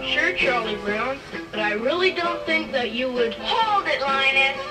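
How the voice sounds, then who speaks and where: Cartoon school kid, high voice, earnest, plain-spoken, old record playback, loud music. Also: female voice, animated, unhurried, recorded from a distance, rough recording. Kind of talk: cartoon read-along acting.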